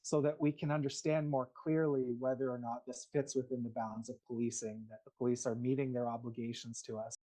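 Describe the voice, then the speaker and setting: A man speaks as an interviewed commentator, measured in delivery. His voice is dark and mellow, at a middling pitch. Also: little pitch movement, low-quality recording.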